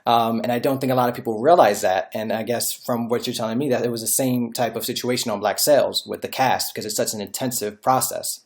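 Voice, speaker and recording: A man's voice: medium pitch, steady tone, brisk, few pauses. A man hosting an interview, reading out fan questions and answering with brief agreement.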